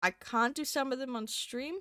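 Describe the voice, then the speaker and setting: A woman's voice: high voice, normal speed, pushed and bright, smooth, no echo, clean studio sound. A woman streaming live, chatting casually with many fillers and giggles, a bit sniffly from a cold. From Southern Hemisphere. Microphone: desk microphone.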